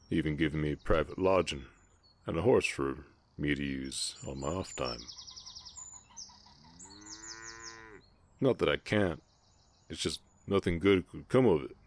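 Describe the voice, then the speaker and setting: Man voicing a big gentle orc cowboy, extremely deep voice, Southern US drawl, polite, shy, halting, intimate Western audio roleplay. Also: heavily acted. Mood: flustered, earnest. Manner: halting, polite, soft-hearted drawl with folksy turns. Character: big strong gentle orc ranch hand, shy and guarded. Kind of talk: intimate ASMR romance roleplay, Western setting.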